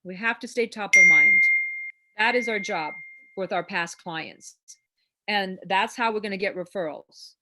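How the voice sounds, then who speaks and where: A woman's voice: medium pitch, sharp tone. Chatty, encouraging woman coach. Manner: chatty, encouraging, rambling with fillers. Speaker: class host, real estate trainer. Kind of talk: informal coaching talk.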